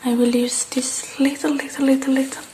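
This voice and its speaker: A woman doing an ASMR repair roleplay, speaking in soft, breathy whispers and hushed, halting murmurs close to the mic, addressing the listener. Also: sits high, level tone, a little hiss or hum. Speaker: ASMR artist.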